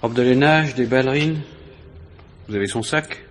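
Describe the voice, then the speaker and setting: A man's voice: mid-range voice, moderate pace, slight background noise, lightly acted. An actor playing a put-upon family-man police inspector in a 1950s-style French radio crime drama, matter-of-fact and grumbling. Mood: businesslike.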